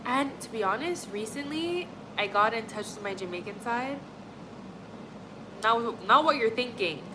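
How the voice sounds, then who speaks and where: A woman's voice: fairly high pitch, expressive, unhurried, background noise. Chatty young woman from Montreal. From Montreal, Canada. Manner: chatty, rambling, frequent "like" and "honestly". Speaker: livestream host. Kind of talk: casual chat with live chat.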